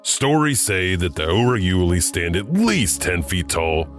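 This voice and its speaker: A man hosts and narrates legends in a scripted, musing storytelling style. His voice is very deep yet lively. Faint music runs underneath. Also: a little slow. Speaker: narrator and host.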